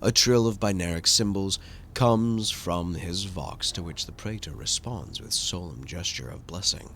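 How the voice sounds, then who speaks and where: A man narrating a video game's story text in a dramatic, measured, theatrical way. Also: low voice, fairly bright tone, slight background noise. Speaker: narrator and player of a game playthrough. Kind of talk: dramatic scripted game narration.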